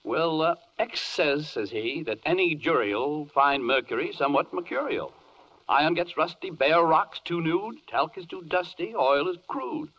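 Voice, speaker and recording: A man's voice: a little high, animated, a little slow, fairly bright tone, full character voice. A man playing a fussy spokesman reciting rhymed objections in a 1940s radio play. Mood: dismissive. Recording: muffled, noisy 1940s radio broadcast.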